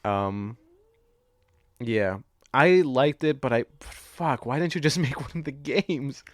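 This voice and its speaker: A man's voice: mid-range voice, slow pace, dry recording, studio quality. A man co-hosting a podcast, chatting casually and profanely about films, often laughing as he talks. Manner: loose, profane, laughs while talking. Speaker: podcast co-host. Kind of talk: casual chat, film discussion.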